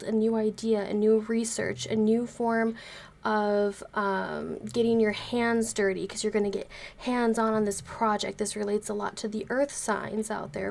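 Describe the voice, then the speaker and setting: A woman's voice: medium pitch, vocal fry, darkish tone. A woman, congested and recovering from being sick, giving a chatty, rambling tarot reading to camera as the channel's host. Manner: chatty, rambling, many fillers. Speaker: tarot reader.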